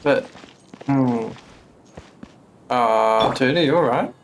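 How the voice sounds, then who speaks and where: A man's voice: mid-range voice, takes it slowly, noisy background. A man giving casual live commentary as he streams a video game.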